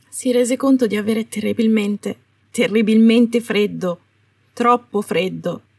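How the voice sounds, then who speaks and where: A woman narrates fiction in a dramatic, scripted style. Her voice is husky and sits at a middle pitch. The recording sounds roomy and slightly away from the microphone. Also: a little mellow, recorded from a distance. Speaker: podfic narrator.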